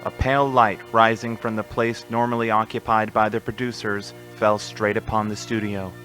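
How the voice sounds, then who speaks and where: A man's voice: fairly low pitch, level tone, normal speed, a bit bright. A man narrating a scripted radio play in a solemn, literary storytelling manner. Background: music.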